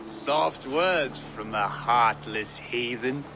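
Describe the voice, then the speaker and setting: An actor playing a proud young lord in a video game, scornful yet eager, with an expressive, halting delivery. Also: male voice, mid-range voice, music in the background. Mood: teasing. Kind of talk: dramatic video game acting.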